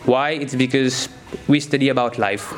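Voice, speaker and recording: A man's voice: mid-range voice, normal speed, over music. A young man, answering interview questions as a science teacher in simple, enthusiastic phrases.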